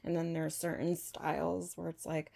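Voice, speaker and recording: A woman speaking off the cuff in an opinion vlog, halting and rambling, often posing rhetorical questions. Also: deep voice, flat delivery, vocal fry, mellow. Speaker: vlog host. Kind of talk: unscripted opinion monologue.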